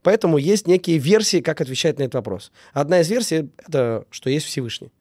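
Fast-talking man, emphatic and mellow. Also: a little high, animated, no room sound, clean studio sound. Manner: emphatic, repeats phrases in lists. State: getting over a cold. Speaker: interview guest. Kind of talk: casual reflective talk on relationships.

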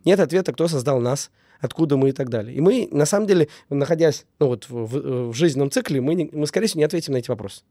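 A man getting over a cold talks quickly and earnestly in a casual interview. His voice sits fairly high but sounds mellow, and the recording is dry and close. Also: expressive, dry recording. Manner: earnest, repeats key words, many fillers. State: recovering from a cold. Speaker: business owner, guest.

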